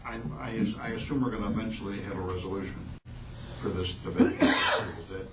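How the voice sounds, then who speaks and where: A man's voice: lowish voice, a little fast, muffled recording, crowd noise. A man on a city council speaking hesitantly, with stammering starts. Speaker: council member. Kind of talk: comment at a council meeting. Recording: distant, echoey council chamber audio.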